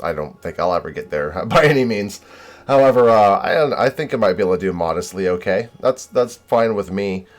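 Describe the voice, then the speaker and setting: Hesitant, modest young male streamer. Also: low voice. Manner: modest and hesitant, many fillers. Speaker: video game streamer. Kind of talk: casual chat with viewers.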